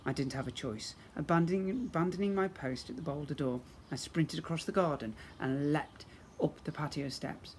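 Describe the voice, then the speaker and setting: A man's voice: fairly high pitch, moderate pace, few pauses. Man reading a children's story, noisy recording. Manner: expressive, dramatic storytelling. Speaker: teacher reading to pupils. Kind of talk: children's storybook read-aloud.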